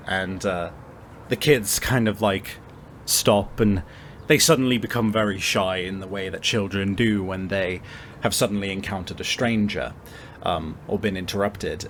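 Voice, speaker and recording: Low-voiced male game master, halting narration. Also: fairly bright tone, a little hiss or hum. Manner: halting, conversational, frequent searching pauses. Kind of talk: improvised tabletop game narration.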